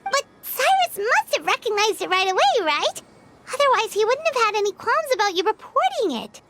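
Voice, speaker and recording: A woman's voice: very high-pitched, big pitch swings, moderate pace, heavy background noise, exaggerated voice. A woman voicing a small, chirpy, girlish travel companion who talks in a perky, eager way.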